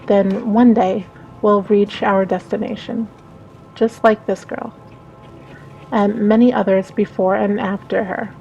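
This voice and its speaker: A woman's voice: medium pitch, fairly even intonation, slow, gravelly, mellow, lo-fi sound. A woman reading a visual novel aloud as a dramatic narration. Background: background music.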